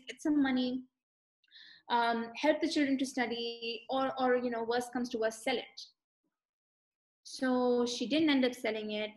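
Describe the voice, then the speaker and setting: A young woman, an author, telling family stories on a video call with an eager, detailed storytelling manner. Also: sits high. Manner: warm storyteller, lingering on striking details. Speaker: author being interviewed. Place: room with bookshelves, indoors. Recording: echoey room on a video call.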